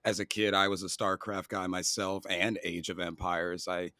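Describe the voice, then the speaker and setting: Very low-voiced male co-host. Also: little pitch movement, moderate pace, pushed and bright, no room sound, studio quality. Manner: laughs often, easygoing, loose phrasing. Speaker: podcast co-host. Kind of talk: casual podcast chat.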